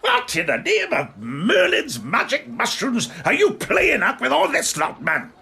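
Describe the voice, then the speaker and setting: A man's voice: very high-pitched, wildly swooping pitch, a little slow, vocal fry, bright, forceful tone, slightly rough, heavily acted. A man playing a rustic, bossy quest leader with a British accent and a blunt, scolding manner in a comic audio drama. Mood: exasperated scolding.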